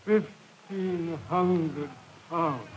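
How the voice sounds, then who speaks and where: An actor playing a cowardly, flustered rich gentleman in a 1950s radio drama, stammering and pleading. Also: a little high, very slow, husky, heavily acted, rough recording. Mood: panicked pleading. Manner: stammering, spluttering, panicky.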